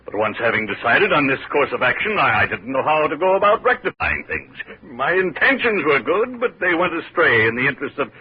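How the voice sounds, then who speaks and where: A man's voice: a little high, sing-song, brisk, few pauses, husky, full character voice. A man playing a pompous, evasive, cornered city mayor in a 1950 radio drama, blustering and stammering. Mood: pleading, self-justifying. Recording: muffled old 1950 radio recording.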